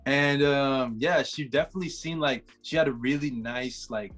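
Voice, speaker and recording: An American man in his twenties chatting casually on a video call in an upbeat, slangy, expressive way. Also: medium pitch, moderate pace. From Orlando, Florida, US. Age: 20s. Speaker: dating show contestant, musician. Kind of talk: casual chat on a video date. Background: background music.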